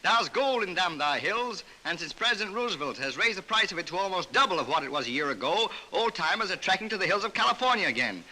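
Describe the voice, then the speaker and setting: A man's voice: high voice, big pitch swings, fast, hardly any pauses, sharp tone, slight background noise, rough recording. An American man narrating a 1930s newsreel from a script in a jaunty, wisecracking manner, on a vintage soundtrack.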